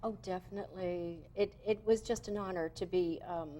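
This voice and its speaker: A woman's voice: lowish voice, steady tone, normal speed, warm tone. A woman in her sixties, a former pageant queen appearing as a TV guest, speaking graciously and politely with some fillers. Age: 60s.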